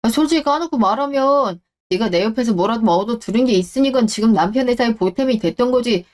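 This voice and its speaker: A woman's voice: on the high side, animated, quick pace, a little mellow, lightly acted. A woman voicing a smug, shameless middle-aged ex-husband in a radio drama, blustering and talking down to people. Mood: indignant, blustering. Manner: blustering, condescending, coarse. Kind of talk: Korean radio-drama story acting.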